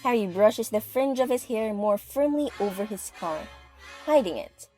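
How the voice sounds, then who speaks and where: A woman's voice: a little high, animated, normal speed, warm tone. A woman giving vivid, dramatic scripted narration for an audio drama. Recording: music under the voice.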